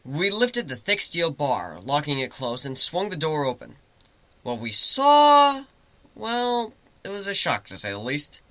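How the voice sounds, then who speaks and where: A man giving an expressive, theatrical dramatic reading of a first-person story. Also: fairly high pitch, measured pace, no echo. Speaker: amateur story reader, narrator.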